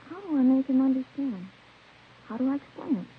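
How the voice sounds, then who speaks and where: An actress playing a chatty, wistful, career-minded American dance-band singer in a 1950s radio drama. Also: female voice, sits high, animated, brisk, narrow, tinny audio, a little hiss or hum. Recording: thin vintage radio sound, loud music behind.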